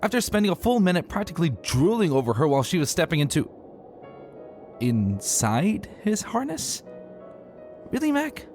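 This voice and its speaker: A man's voice: high-pitched, very animated, normal speed, pauses often, a little mellow, soft background music, voice pushed up. A man voicing a brash, exasperated female pegasus, a cocky young mother, in a dramatic story reading. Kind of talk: dramatic fan fiction reading.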